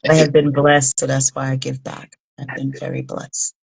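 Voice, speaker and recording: Very deep, gravelly woman in her fifties. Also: completely flat delivery, moderate pace, darkish tone, rough recording. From Liberia. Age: 50s. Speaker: interview guest, humanitarian founder. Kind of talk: conversational interview answers.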